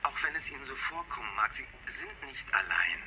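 A reassuring doctor in a radio thriller, heard over a telephone. His mid-pitched voice is caring, with clear noise around it. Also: animated, brisk. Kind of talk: radio play acting.

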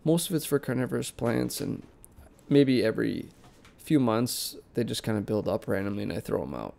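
A man's voice: medium pitch, moderate pace, creaky. A young man with a congested, nasal cold voice, chatting casually as a vlog host about doing chores in his basement plant room. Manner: relaxed, rambling, conversational. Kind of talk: casual voice-over vlog narration.

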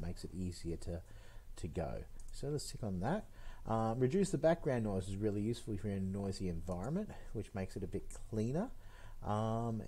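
A middle-aged man giving an informal, conversational software tutorial, explaining step by step into a headset mic. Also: fairly low pitch, slightly rough. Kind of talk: informal screen-recorded tutorial.

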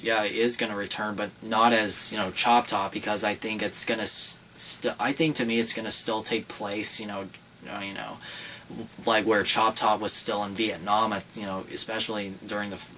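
Rambling young man, lowish voice. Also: sharp tone, distant mic, a little hiss or hum, low-quality recording. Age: young adult. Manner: rambling, many fillers and restarts. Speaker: horror channel co-host. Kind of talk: casual movie discussion. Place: small indoor room with horror posters.

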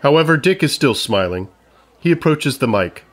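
A man's voice: mid-range voice, sing-song, normal speed. A man reading scripted narration for a comedy story, as its narrator.

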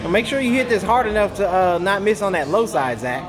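High-voiced joking man on a golf sim. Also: very animated, a little fast, crowd noise. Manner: joking, self-mocking about his game. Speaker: golf vlog co-host. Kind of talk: casual golf banter. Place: indoor golf simulator bay.

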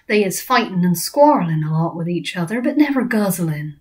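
A woman's voice: lowish voice, lively intonation, a little fast, a little mellow, close to an everyday voice. A woman voicing a kindly, eccentric male giant in a storybook, nervous, with quaint muddled grammar and invented words.

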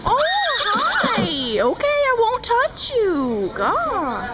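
A woman's voice: very high-pitched, wildly swooping pitch, drawn-out words, highs cut off. A young woman chatting casually and cooing hesitantly to horses, recorded on a handheld camera. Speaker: amateur videographer. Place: fairground horse barn. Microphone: handheld camera held by speaker. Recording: busy crowd noise, handheld camcorder audio. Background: crowd chatter in horse barn.

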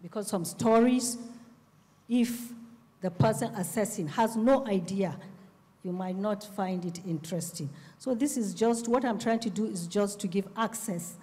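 A middle-aged Nigerian-accented woman speaks on a panel in a soft, mellow voice of medium pitch. Her delivery is measured, with deliberate pauses between phrases. The handheld microphone carries the long reverb of a hall. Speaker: panelist, publisher and literacy advocate. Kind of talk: panel discussion talk. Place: on stage in a festival hall.

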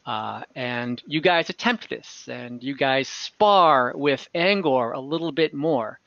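A man narrating an online tabletop game as game master, casual, halting and lightly amused, improvising as he goes. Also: medium pitch, lively intonation, gravelly, a bit bright, no room sound. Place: at home indoors on a group video call. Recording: slightly dull video-call audio.

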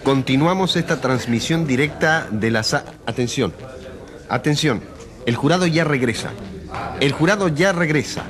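An actor plays an eager male reporter in a radio drama, commenting live from a trial. His mid-pitched voice swoops with urgency over a clearly audible crowd. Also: lightly acted. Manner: animated, urgent announcer delivery. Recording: crowd murmur behind.

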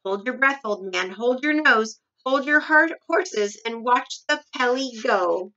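A woman voicing a cheeky, excitable monkey in a storybook, calling out in emphatic exclamations. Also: fairly high pitch, measured pace, far from the mic, muffled recording. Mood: cheeky, showy. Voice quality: shouting, exclaiming.

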